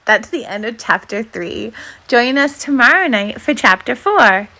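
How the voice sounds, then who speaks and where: A woman reading aloud as a volunteer storyteller, with an expressive, dramatic delivery. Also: fairly high pitch, measured pace. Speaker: volunteer children's book reader.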